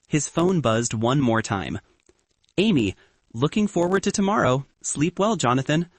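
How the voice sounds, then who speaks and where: A husky man reads a romance story aloud in audiobook style, his pitch moving lively up and down. The sound is dry and close with no room echo. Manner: expressive storytelling. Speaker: narrator. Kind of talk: audiobook narration.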